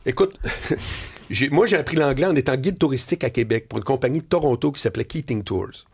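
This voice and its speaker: A middle-aged man with a Quebec French accent, telling animated stories on a radio talk show and often laughing. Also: fairly quick, gravelly, husky. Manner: storytelling, laughing, swinging pitch. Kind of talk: animated editorial chat. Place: radio studio.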